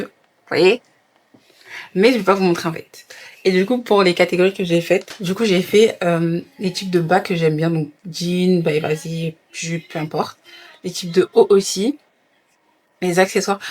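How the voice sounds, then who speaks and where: A young woman vlogging in a relaxed, chatty way, her voice sitting a little low. She slips English phrases into her casual talk. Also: slight background noise. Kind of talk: casual vlog chat.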